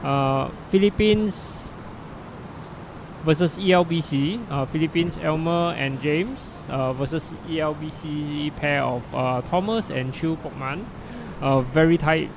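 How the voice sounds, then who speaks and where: A man's voice: on the high side, slow. A middle-aged Singaporean man with a Singaporean English accent giving loose, chatty live commentary on a lawn bowls final, full of fillers and asides. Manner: chatty and loose, many fillers, self-deprecating. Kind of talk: live solo sports commentary. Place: outdoor bowling green. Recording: noisy outdoor live stream.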